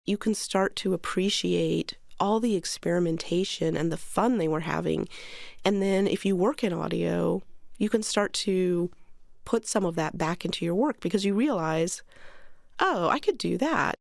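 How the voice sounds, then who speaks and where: A woman's voice: fairly low pitch, normal speed, creaky, no room sound, studio quality. A woman speaking casually and informally as an interviewee.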